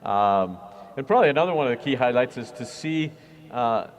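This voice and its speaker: A man's voice: mid-range voice, animated, fairly quick. A man who is a church minister, talking earnestly and reflectively in an interview, with frequent fillers. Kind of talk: conversational interview.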